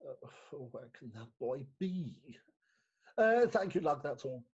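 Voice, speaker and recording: An actor playing a flustered, guilty, respectable magistrate in a theatrical comic reading, stammering and hesitant with a husky voice. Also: male voice, fairly high pitch, sing-song, unhurried, a little mellow, full character voice. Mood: anxious. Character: flustered, guilt-ridden, respectable London magistrate, disheveled after a night out. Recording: dull lo-fi remote recording.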